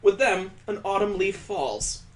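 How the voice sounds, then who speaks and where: A man's voice: high voice, animated, a little slow, a bit bright, faint music behind, poor audio. A man hosting a let's play, reading a visual novel dramatically and adding incredulous, joking commentary. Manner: incredulous, joking asides between earnest narration. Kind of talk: dramatic read-aloud with reactive commentary.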